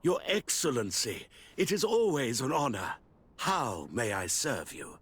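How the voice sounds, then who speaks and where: Pompous, swooping government minister. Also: male voice, mid-range voice, huge pitch swings, a bit bright, a little hiss or hum. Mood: obsequious, proud. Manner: pompous, obsequious, deliberate. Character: officious, wily male government minister of trade. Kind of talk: video game cutscene acting.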